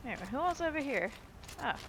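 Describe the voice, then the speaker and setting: A woman's voice: sits high, very animated, quick pace, creaky, a bit bright, a little hiss or hum, low-quality recording. A woman giving casual, informal commentary as she plays a video game, in an easygoing manner. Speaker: gamer, let's play host.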